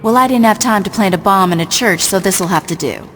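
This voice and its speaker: An actress playing a ruthless, sarcastic street gang boss in a video game, blunt and cocky. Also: female voice, medium pitch, fairly quick, a bit bright, lightly acted. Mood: menacing, sarcastic. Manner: blunt, cocky, foul-mouthed. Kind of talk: video game cutscene acting.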